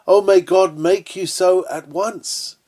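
A man's voice: high voice, fairly even intonation, takes it slowly, mellow. Earnest man reading an old sermon. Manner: earnest, oratorical delivery. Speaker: sermon reader.

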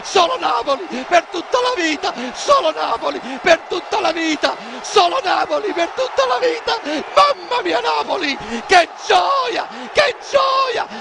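A man's voice: very high-pitched, creaky, heavy background noise. A man giving wildly partisan live football commentary, screaming goal calls and theatrical pleas.

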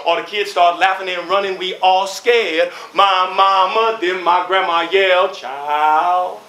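A man's voice: high-pitched, level tone, a little slow, sharp tone. A man performing a rhythmic spoken-word piece with a repeated refrain, acting out voices along the way. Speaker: playwright and performer. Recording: echoey hall.

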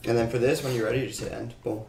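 A man's voice: mid-range voice, steady tone, vocal fry, noisy background. Man giving instructions from across an echoey room. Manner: helpful, gives quick instructions. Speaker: helper setting up the stream. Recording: distant, echoey room sound.

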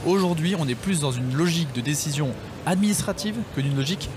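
A man's voice: fairly high pitch, fast talker. A man who is a mayor, answering a radio interview with firm, fluent arguments at a trade fair stand. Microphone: table radio mic with headphones. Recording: noisy trade fair hall.

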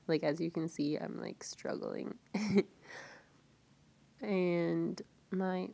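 A young woman narrating her morning routine in a casual, soft-spoken way while getting over a cold. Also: on the low side, vocal fry. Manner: relaxed, soft-spoken, with light laughs. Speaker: vlogger. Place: bedroom at home.

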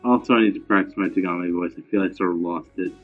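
A man's voice: sits low, fairly even intonation, quick pace, faint music behind. A man narrating fan fiction aloud in a casual style, with joking asides. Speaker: fan fiction reader and commentator. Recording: thin, phone-like lo-fi audio.

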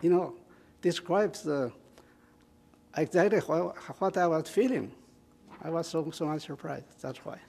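Older man with a Chinese accent, slow. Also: a little high, gravelly, a little mellow, breathy edge. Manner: halting, with many fillers and restarts. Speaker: poetry reader. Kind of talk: informal reading and explanation at a community event. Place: small community room with an audience. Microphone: stand microphone.

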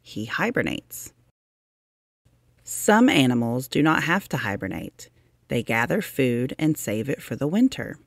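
A narrator reading a children's science book aloud, deliberately and expressively, with drawn-out emphasis for young listeners. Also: mid-range voice, sing-song, vocal fry, no room sound, studio quality.

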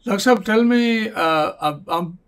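High-voiced hesitant male interviewer. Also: unhurried, gravelly, fairly bright tone. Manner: hesitant, many fillers and restarts. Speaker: interviewer, TV host. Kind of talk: casual interview questions.

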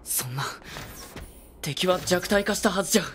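A man's voice: sits high, normal speed, a bit bright, soft background music. An actor playing a troubled young male android soldier in a video game, polite and earnest. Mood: shaken. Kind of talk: dramatic video game acting.

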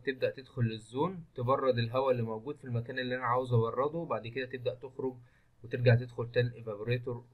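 A man's voice: medium pitch, a bit bright. A man with an Egyptian Arabic accent giving a technical lecture over slides, explaining step by step and mixing in English technical terms. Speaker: engineering lecturer. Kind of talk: screen-recorded technical lecture.

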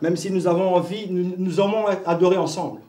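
A young man interprets a sermon live into a handheld microphone in a church hall. He speaks quickly in a high voice. He often repeats words and restarts as he keeps pace. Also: steady tone, mellow. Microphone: handheld mic.

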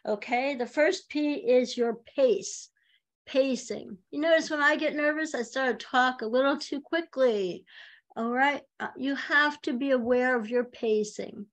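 A woman in her fifties, a communication coach, giving chatty, animated speaking advice with a high voice over a video call from home. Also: measured pace. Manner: chatty and animated, laughs often. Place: home room, indoors. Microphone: video call webcam.

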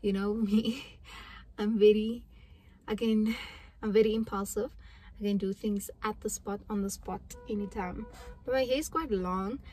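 A woman's voice: mid-range voice, a little slow, breathy edge, poor audio. A young woman talks casually into a phone camera while sitting in a parked car. Her delivery is chatty and rambling, full of small asides and restarts, like a personal vlog. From South Africa. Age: young adult. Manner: chatty, rambling, animated hand gestures. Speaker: vlogger. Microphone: phone camera at arm's length.

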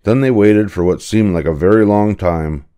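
A man's voice: fairly low pitch, normal speed, mellow, clear, resonant tone. An older man reading a children's picture book aloud as narrator. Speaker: storybook reader.

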